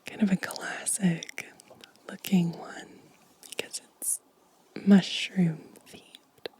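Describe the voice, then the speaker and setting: Slow, breathy whispering woman. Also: fairly low pitch, flat delivery. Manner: gentle, slow, unhurried. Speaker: ASMR host. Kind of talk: soft-spoken whispered ASMR show and tell. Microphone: close microphone.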